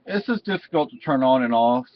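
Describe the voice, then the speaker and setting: A man giving a casual, unscripted product review in a halting, rambling way. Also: medium pitch, expressive, slight background noise. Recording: dull, muffled lo-fi sound.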